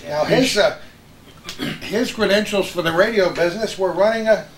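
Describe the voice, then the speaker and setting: A man's voice: sits high, normal speed. An older American man hosting a chat and telling anecdotes about his radio days with old colleagues. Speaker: host, veteran radio broadcaster. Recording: roomy echo with some background noise.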